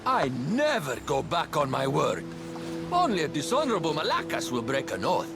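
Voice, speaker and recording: A man's voice: on the high side, wildly swooping pitch, vocal fry, music in the background, exaggerated voice. An actor playing a shifty, gloating trader in a video game, drawing his words out theatrically. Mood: smug. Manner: theatrical, drawn-out delivery. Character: shifty, gloating male trader who prizes valuables. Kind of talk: video game cutscene acting.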